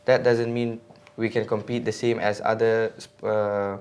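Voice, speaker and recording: A man's voice: on the low side, little pitch movement, a little slow, a little mellow, smooth. A man, a guest on a podcast, talking casually and hesitantly with many fillers and restarts. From India. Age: 20s. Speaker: podcast guest, mountain bike racer.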